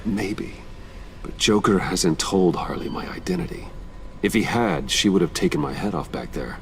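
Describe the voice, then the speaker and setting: An actor playing a stern, controlled masked vigilante detective in a video game, speaking in a grave, measured, terse way. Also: male voice, low-pitched, vocal fry, a little hiss or hum. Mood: analytical. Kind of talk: dramatic video game acting.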